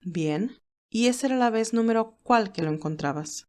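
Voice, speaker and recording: Lady doing an older motherly woman in an audiobook, calm, reasoning, gently firm scolding. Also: fairly high pitch, moderate pace, natural voice. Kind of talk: acted audiobook dialogue.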